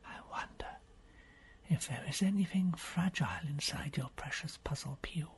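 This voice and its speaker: Man playing a husky, sceptical gentleman investigator. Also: fairly high pitch, moderate pace, whispered, pitched below the performer's own voice. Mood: dry, knowing. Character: cool, sceptical, know-all gentleman investigator. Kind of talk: audiobook character voice.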